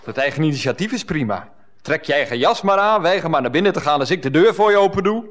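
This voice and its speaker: An actor playing a fussy, reluctant lover who protests in flustered indignation, in a radio comedy play. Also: male voice, on the high side, expressive, quick pace, a bit bright. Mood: indignant.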